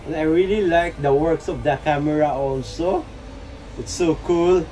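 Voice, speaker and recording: A man's voice: fairly high pitch, very animated, unhurried, warm tone, a little hiss or hum, poor audio. A man in his thirties with a Filipino accent gives casual, enthusiastic, admiring reaction commentary as a video host. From Philippines. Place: small plain indoor room.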